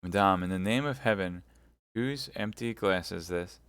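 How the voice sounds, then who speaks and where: A grim swordsman speaks low and measured, heavy with restraint, as a male narrator plays him. Clear, dry recording close to the mic. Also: fairly bright tone, no echo. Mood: grave. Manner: grave, measured. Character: grave older male swordsman, stern and broken.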